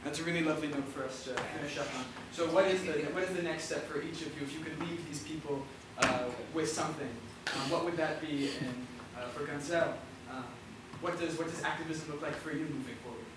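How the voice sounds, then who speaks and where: A man's voice: fairly high pitch, fast, hardly any pauses. A man moderating a live panel discussion and putting questions to the guests. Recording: very echoey, noisy hall, distant mic.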